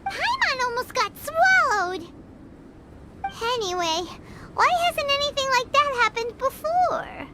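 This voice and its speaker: A woman voicing a tiny, childlike, excitable fairy companion in a video game, squeaky and exclamatory. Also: takes it slowly, heavy background noise, full character voice. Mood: indignant. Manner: speaks of herself in the third person, exclamatory. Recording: music playing behind the voice.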